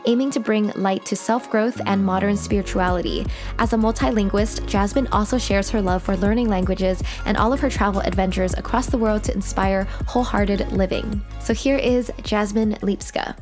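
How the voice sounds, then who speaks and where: A woman's voice: mid-range voice, steady tone, moderate pace, music in the background. A woman hosting a podcast, reading a guest introduction and then asking interested questions. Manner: warm and interested, lots of encouraging responses. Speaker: podcast host.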